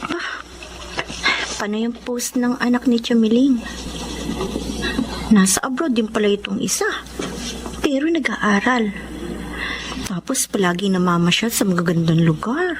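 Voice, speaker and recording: High-voiced, resentful young working woman. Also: lively intonation, measured pace, pauses often, noisy background. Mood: wistful. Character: young woman domestic worker abroad, hardworking, bitter, resentful. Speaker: drama actor. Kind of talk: Tagalog radio drama acting.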